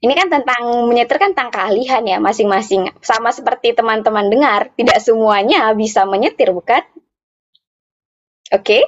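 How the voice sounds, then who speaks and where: A woman interpreting live for an interactive online workshop, speaking phrase by phrase in a halting way with repeats. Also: a little high. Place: online video meeting. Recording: dull, muffled online call audio.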